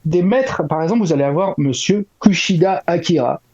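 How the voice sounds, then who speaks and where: A man's voice: sits high, expressive, a little fast, a little mellow. A man giving casual, enthusiastic fan commentary on an anime news show, with dull lo-fi audio.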